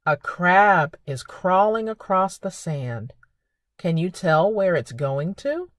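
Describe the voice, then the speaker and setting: A woman hosting a preschool storytime, speaking in simple, expressive phrases for young children. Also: on the low side, takes it slowly, no echo, clean studio sound. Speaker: children's librarian and storytime host. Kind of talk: preschool storytime reading and narration.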